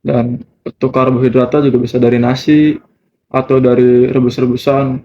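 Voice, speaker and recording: A man's voice: medium pitch, flat delivery, normal speed. A nineteen-year-old man, giving casual, slangy answers as an interviewee. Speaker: student interviewee in a group discussion. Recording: muffled, noisy lo-fi recording.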